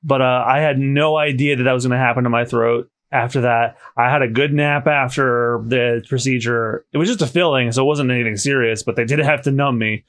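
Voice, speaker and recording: A man hosting a casual podcast, chatty and slightly hoarse, often restarting his sentences. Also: medium pitch, steady tone, fairly quick, hardly any pauses, a bit bright. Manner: chatty, self-correcting restarts. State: slightly hoarse after dental work. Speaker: podcast host.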